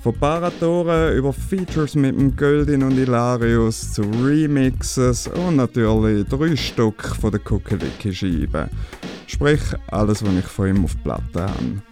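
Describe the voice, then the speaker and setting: A man's voice: medium pitch, measured pace, darkish tone. A man with a Swiss German accent, casually hosting a music show on the radio.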